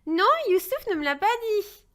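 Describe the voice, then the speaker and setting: A woman voicing a lively, inquisitive little girl in a French children's cartoon, expressive and childlike. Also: very high voice, normal speed. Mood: curious.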